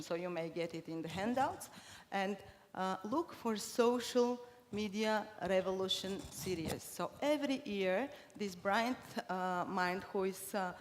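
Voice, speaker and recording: A middle-aged woman from Bulgaria gives a conference talk in English, her second language. She speaks at a middle pitch, pausing often on fillers and restarting her sentences. Her voice carries through a large hall with a long reverb and faint noise underneath. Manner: hesitant, many fillers and restarts. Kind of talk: conference presentation.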